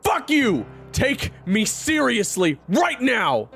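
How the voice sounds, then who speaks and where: A man's voice: on the low side, fairly even intonation, very slow, pushed and bright, full character voice. A man voicing a proud, hot-headed young fighter bent on revenge in an audio drama, snarling and defiant. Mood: furious. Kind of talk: dramatic audio roleplay acting. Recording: echoey reverb, music behind.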